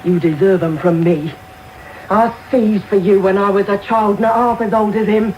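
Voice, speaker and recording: A woman's voice: mid-range voice, steady tone, normal speed, highs cut off, close to an everyday voice. An actress playing a bitter, defiant young female thief, fierce and worn, in a radio drama. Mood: bitter fury. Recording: hissy medium-wave radio broadcast off tape.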